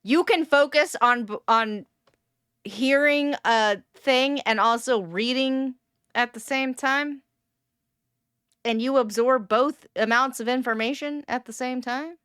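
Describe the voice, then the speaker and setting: Bright, crisp-voiced woman streamer. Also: high voice, slow pace, dry recording, studio quality. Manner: rambling, wry, filler-heavy. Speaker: streamer reacting to a video. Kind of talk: casual reaction commentary.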